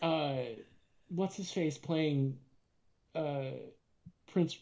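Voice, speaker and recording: A woman's voice: deep voice, very slow, mellow. A woman co-hosting a casual table read, joking and riffing with acted-out quips. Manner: riffs with film references and acted-out quips.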